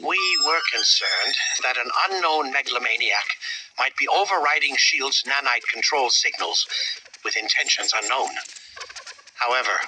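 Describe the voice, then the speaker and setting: Actor as a formal, measured scientist hero, noisy backdrop. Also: male voice, mid-range voice, animated, natural voice. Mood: grave, analytical. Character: calm analytical male scientist hero giving grave briefings. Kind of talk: video game cutscene voice acting.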